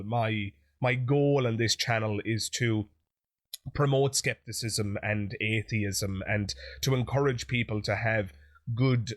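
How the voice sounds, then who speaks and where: Male host, chatty direct-to-camera commentary, chesty and sniffly from an infection. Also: fairly low pitch. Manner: chatty, direct address to viewers. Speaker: YouTube channel host. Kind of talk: opinion commentary monologue.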